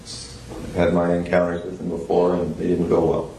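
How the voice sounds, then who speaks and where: A male police investigator talking in a measured, persuasive way during an interrogation. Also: low voice, monotone, dark tone. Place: small indoor police interview room. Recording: echoey room, clear background noise.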